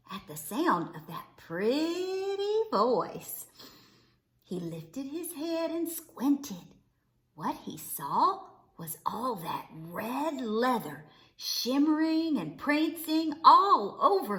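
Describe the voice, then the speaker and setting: A woman reading a children's story aloud with theatrical, deliberate phrasing. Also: high voice, very animated, a bit bright. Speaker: storybook reader. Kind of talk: expressive children's read-aloud narration. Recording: roomy, echoing indoor sound.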